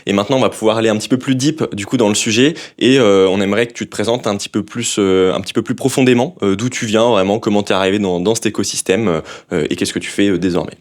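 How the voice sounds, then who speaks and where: A man hosting an interview, speaking quickly in a somewhat low voice. He keeps things relaxed and casual, stumbling into restarts now and then and mixing in English slang. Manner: relaxed, anglicisms, stammering restarts. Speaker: podcast host.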